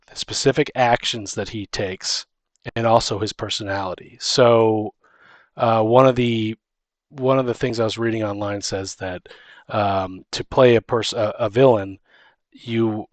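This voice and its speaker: A man's voice: lowish voice, no echo. A man co-hosting a casual discussion, talking in a rambling way and often restarting his sentences halfway through. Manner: rambling, halting, restarts sentences mid-thought. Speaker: podcast co-host.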